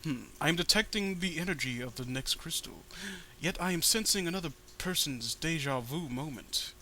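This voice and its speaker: A man reading out an earnest psychic searcher from a fan comic in a measured, solemn, expository way. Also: fairly high pitch, lively intonation, sharp tone, slight background noise, rough recording. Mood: solemn, searching. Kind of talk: dramatic reading of comic dialogue.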